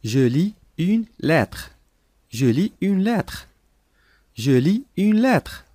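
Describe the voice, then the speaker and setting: A man reading short phrases slowly and clearly for learners, repeating each sentence three times. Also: sits high, big pitch swings, mellow, no echo, clean studio sound. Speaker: language lesson voice.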